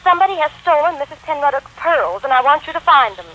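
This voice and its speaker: Bright, bossy fiancée. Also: female voice, sits high, expressive, fast, low-quality recording. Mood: brisk, demanding. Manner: commanding, coaxing. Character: bossy, brisk young fiancée, sweetly impatient. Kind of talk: 1940s radio acting. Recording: old 1940s radio broadcast, faint noise.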